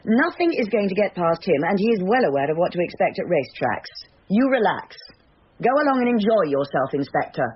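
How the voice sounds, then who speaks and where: A woman playing a brisk, dismissive police superintendent in a radio drama, with an authoritative, condescending manner. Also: mid-range voice, very animated, dull audio, lightly acted. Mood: breezy, patronising. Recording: band-limited, faintly noisy radio drama.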